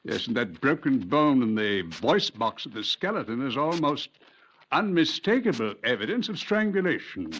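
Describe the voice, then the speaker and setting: An actor playing a formal, precise forensic pathologist with a lecturing manner, in a 1950s radio drama. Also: male voice, medium pitch, sing-song, moderate pace, a bit bright, slightly rough. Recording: dull lo-fi 1950s radio recording with faint clicks.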